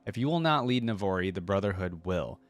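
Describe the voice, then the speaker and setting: A man's voice: low voice, lively intonation, brisk, no echo. A man reading the part of a dying, betrayed rebel zealot in a solemn, accusing manner from a story. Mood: bitter, defiant.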